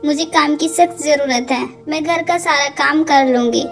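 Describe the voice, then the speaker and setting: A woman's voice: high voice, normal speed. A woman voicing a poor, kind-hearted young pregnant woman with an earnest, plaintive manner in a cartoon. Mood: pleading. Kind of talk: cartoon dialogue. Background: background music.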